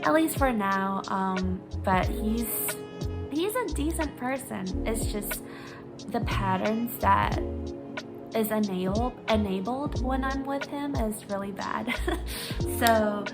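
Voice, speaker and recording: A woman's voice: on the high side, takes it slowly, over loud music. A woman from Singapore in her twenties, candidly sharing her personal story in a hesitant, um-filled vlog, reading from talking points on her phone. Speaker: vlogger, psychology grad student.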